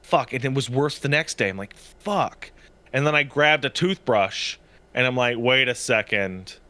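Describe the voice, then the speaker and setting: A man co-hosting a podcast in casual chat, sniffly, telling stories in an animated way with lots of swearing. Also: medium pitch, a little slow. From Canada. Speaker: podcast co-host.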